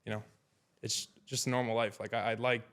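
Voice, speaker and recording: Twenties guy from upstate new york, chill and slangy, smooth clean voice, tons of "like". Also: mid-range voice, flat delivery, brisk, a bit bright. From New York, Hudson Valley. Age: 20s. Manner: laid-back, slangy, many fillers. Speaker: podcast guest. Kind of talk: casual chat.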